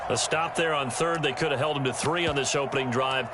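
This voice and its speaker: A man playing a polished play-by-play football announcer in a video game, calling plays in a crisp, measured way. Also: medium pitch, lightly acted. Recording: loud stadium noise behind the voice.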